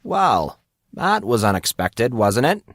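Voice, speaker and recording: A man plays a wisecracking teenage boy in an audiobook. He sounds flustered and informal, with a halting delivery. The recording is dry. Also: medium pitch, very animated, fairly bright tone, no room sound, exaggerated voice. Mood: taken aback. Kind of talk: audiobook character voice.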